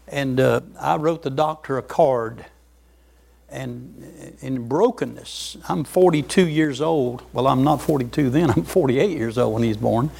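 A man's voice: mid-range voice, vocal fry, darkish tone, breathy edge. An older man preaching a folksy sermon, repeating words and chuckling as he goes.

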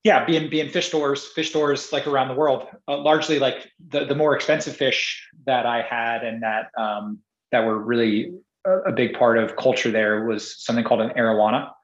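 A man's voice: medium pitch, moderate pace. A man from Singapore tells his story as an entrepreneur in a loose, rambling way, circling back with restarts and fillers. His voice sits in the middle of the range. The audio is roomy and a little dull. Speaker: podcast guest, entrepreneur. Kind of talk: casual interview conversation.

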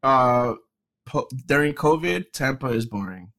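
A man from the Dominican Republic talks loosely on a casual podcast. His voice sits in a middle range and he swears without a second thought. He keeps things relaxed and chatty. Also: medium pitch, measured pace. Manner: laid-back, swears freely. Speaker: podcast co-host. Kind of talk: casual chat.

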